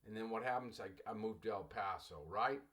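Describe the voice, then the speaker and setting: A man's voice: fairly low pitch, fairly quick, fairly bright tone. A middle-aged man from Los Angeles, a college English teacher, talking to his class in a loose, rambling way and often calling them "class". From Los Angeles, United States. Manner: addresses listeners as "class", loose and digressive, repeats phrases. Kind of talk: recorded class lecture.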